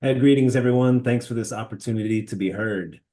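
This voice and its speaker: A man's voice: mid-range voice, fairly quick, warm tone. A man who is a parent and school staff member, reading an earnest prepared public comment in an online meeting. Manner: earnest, read from a prepared statement.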